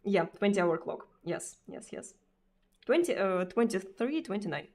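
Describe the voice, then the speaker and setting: A woman's voice: medium pitch, animated, moderate pace, a little mellow. A Russian woman streamer speaking English with a Russian accent in casual chat, hesitant, with many fillers and repeated words. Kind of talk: casual chat answering viewers.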